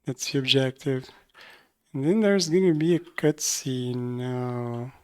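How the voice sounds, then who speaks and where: Unhurried man, low-key game stream chat. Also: darkish tone, clear, resonant tone. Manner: low-key, thinking aloud. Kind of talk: casual live gameplay commentary.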